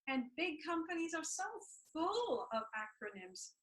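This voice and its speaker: A woman's voice: high voice, very animated, measured pace, a bit bright. A woman hosting an informal discussion, explaining as she thinks aloud and rephrases mid-sentence. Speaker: discussion host giving workplace tips.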